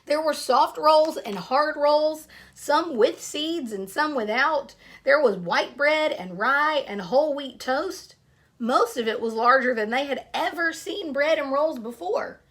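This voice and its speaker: Female storyteller for young children: high, animated voice, picture book narration with chatty asides. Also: a little slow. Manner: expressive, addresses young listeners with questions. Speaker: storyteller reading aloud to children.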